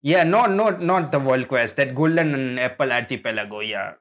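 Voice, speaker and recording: A man casually reacting to game trailers, speaking in a hesitant, halting way. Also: mid-range voice, lively intonation, fairly bright tone. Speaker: reaction video host.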